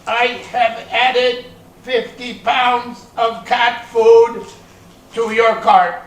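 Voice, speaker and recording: An amateur actor playing a literal-minded voice assistant device in readers theater, announcing slowly and deliberately. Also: medium pitch, little pitch movement, fairly bright tone. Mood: flat, formal. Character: literal-minded, unhelpful smart speaker voice assistant. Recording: echoey room from far off, noisy.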